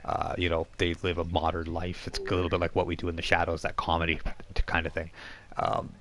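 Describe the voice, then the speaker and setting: A man hosting a tabletop role-playing stream, chatting casually and explaining things in a halting way with false starts. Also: low voice, monotone, fairly bright tone. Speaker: game master and live stream host. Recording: faint background noise.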